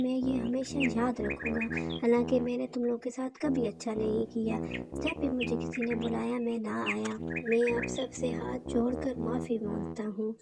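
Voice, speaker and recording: A proud male camel from a children's cartoon, acted out by a woman. The voice is on the high side and slow, drawing out words theatrically. Loud music and birdsong play behind it. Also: gravelly. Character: proud, selfish, lazy male camel, later humbled. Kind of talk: children's moral cartoon voice acting.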